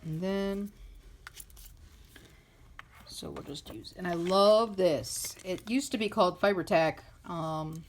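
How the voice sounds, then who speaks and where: A woman with a slightly low voice talks very slowly through a hands-on craft tutorial. She is informal and thinks aloud as she goes. The recording is plainly noisy, made at a table indoors. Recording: clearly noisy home recording with clicks.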